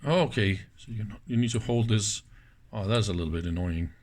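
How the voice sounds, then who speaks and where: A man presenting a casual hands-on demonstration, speaking in halting pieces and often checking in with short questions. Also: on the low side, a little mellow. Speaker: presenter of electronics teardown videos.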